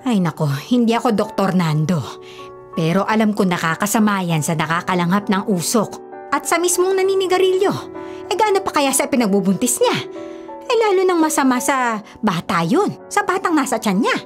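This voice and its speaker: An actress with a Filipino accent playing a concerned, admonishing older sister in a radio drama, speaking expressively. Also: female voice, fairly high pitch, sing-song, normal speed. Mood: scolding. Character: concerned, nagging older sister of a young man. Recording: faint music bed behind dialogue.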